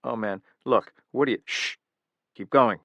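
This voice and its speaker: A man's voice: mid-range voice, measured pace, a bit bright, no room sound. A man voicing a frightened male security chief who pleads with a voice that cracks upward. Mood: pleading.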